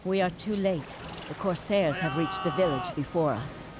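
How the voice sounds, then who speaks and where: Low-voiced, commanding actress as female military leader. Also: background noise, lightly acted. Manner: formal, authoritative, measured. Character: seasoned, composed female military commander of warriors. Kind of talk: scripted fantasy game acting.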